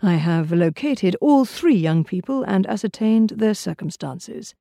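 A woman's voice: mid-range voice, lively intonation, measured pace, vocal fry, warm tone, dry recording, clean studio sound. A woman voicing a sober, correct middle-aged male solicitor in an audiobook, formal and restrained. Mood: cold, formal.

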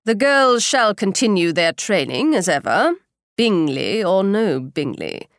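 A woman voicing a dry, wry older gentleman in an audiobook, speaking low and quietly. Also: very animated, unhurried, dry recording.

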